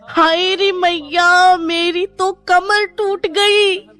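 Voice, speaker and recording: A woman playing a middle-aged, sharp-tongued Indian mother-in-law with theatrical delivery in a cartoon story. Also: very high-pitched, little pitch movement, extremely slow, full character voice. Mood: wailing in pain. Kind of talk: Hindi animated moral story dialogue. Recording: music bed behind the voice.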